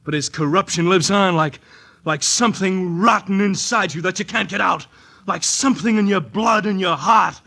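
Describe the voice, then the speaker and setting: A man's voice: high voice, moderate pace, creaky, bright, forceful tone, exaggerated voice. An American actor playing a nervous, dissipated rich young man in fear for his life, stammering and pleading in 1940s radio melodrama. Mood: bitter, overwrought. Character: jittery, desperate, dissipated rich young man, fearing death. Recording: vintage 1940s radio recording.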